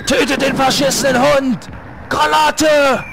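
A man voicing a manic, militant cartoon loudmouth in a gravelly, strained, barking voice for a parody fan dub. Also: extremely high pitch, takes it slowly, a crowd in the background, background noise, full character voice. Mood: frantic, militant. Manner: barking, over-excited.

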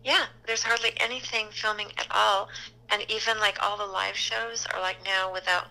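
A middle-aged woman talks in a loose, rambling, conversational way. Her voice is very bright and edged with gravelly fry. She speaks as a casting agent describing her work. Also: mid-range voice, level tone, moderate pace, low-quality recording. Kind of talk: casual conversation.

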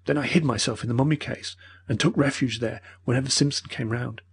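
A man reading an old male professor who speaks in a breathless, earnest, pleading way, with a husky voice. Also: mid-range voice, fairly quick. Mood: explaining.

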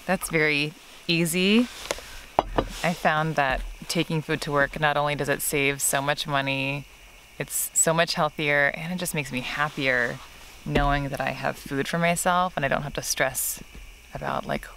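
A woman's voice: low-pitched, steady tone, measured pace, a bit bright, slight background noise, low-quality recording. A woman in her thirties vlogging outdoors to a camera held at arm's length, chatty and self-deprecating, laughing easily. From not from California. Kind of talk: casual vlog talk to camera. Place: outdoors by a tree in a park.

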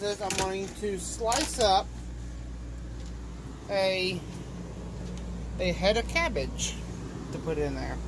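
A man casually chatting while he cooks in a small RV kitchen, rambling folksy talk with a phone camera held over the stove. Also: high voice. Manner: relaxed, rambling, folksy, with fillers. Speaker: home cook vlogger. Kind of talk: casual cooking vlog. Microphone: handheld phone camera over the stove. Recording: kitchen noise with sizzling food.

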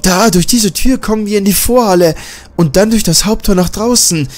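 A man's voice: high-pitched, normal speed, pitched above the performer's own voice, natural voice. A man voicing a frightened young woman journalist who speaks urgently and anxiously. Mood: alarmed.

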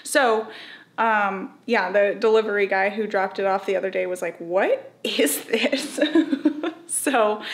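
A young woman casually chatting on camera about a home renovation, with frequent laughs and admitted nerves. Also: fairly high pitch. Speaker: vlogger.

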